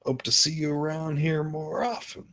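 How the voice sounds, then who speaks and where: A man's voice: a little high, little pitch movement, normal speed, bright, forceful tone. An actor playing a giddy, affectionate, playfully flirtatious father in a film script table read. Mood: warm, affectionate. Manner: playful, teasing.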